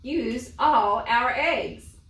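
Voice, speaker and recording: A woman's voice: a little high, very slow, fairly bright tone, pitched above the performer's own voice. A woman voicing a simple, earnest village husband, literal-minded and exclamatory, in a children's storybook read-aloud. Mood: eager. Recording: echoey room, distant mic.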